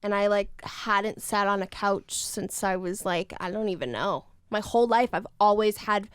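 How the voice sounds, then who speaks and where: A thirty-year-old woman telling personal stories in casual conversation as a podcast guest, animated, acting out quotes and swearing freely. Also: medium pitch, normal speed, smooth, dry recording, studio quality. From United States. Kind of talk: casual chat, personal storytelling.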